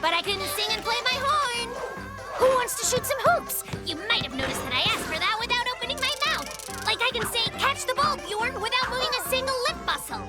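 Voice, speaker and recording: A performer voicing an excitable, boastful little brown bear cub in a children's cartoon. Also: very high voice, fairly quick. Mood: excited, boastful. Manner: exclamatory, thinking out loud, enthusiastic. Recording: music behind the voice. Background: children's cartoon music.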